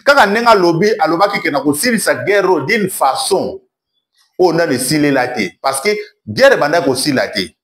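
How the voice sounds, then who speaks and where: A man's voice: fairly high pitch, lively intonation, moderate pace, a bit bright. A man with a Congolese accent hosting an online commentary show, speaking in a declamatory way with emphatic repetition. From Democratic Republic of the Congo. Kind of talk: animated political commentary to camera, mixing French and Lingala.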